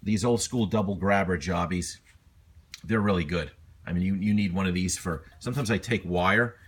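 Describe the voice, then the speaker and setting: A man's voice: low voice, moderate pace, husky. A man presenting a casual, unscripted repair walkthrough in a rambling, self-deprecating, colloquial way. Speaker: hobbyist presenter.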